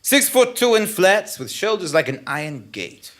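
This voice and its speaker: Male actor as self-important journalist, florid narration, comic radio play, very animated melody, theatrical. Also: high voice, moderate pace. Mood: pompous, dramatic. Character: pompous male investigative journalist narrating his own case. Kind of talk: melodramatic scripted narration in a comic audio drama.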